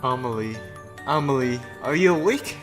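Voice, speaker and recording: A man voicing a frightened young woman from a visual novel, with hushed, halting, anxious pleading. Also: mid-range voice, soft background music, voice pushed up, close to an everyday voice. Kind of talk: visual novel character read aloud.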